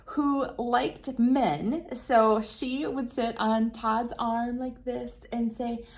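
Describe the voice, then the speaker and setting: A woman hosting a read-aloud, narrating for children with expressive, deliberate phrasing. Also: on the high side.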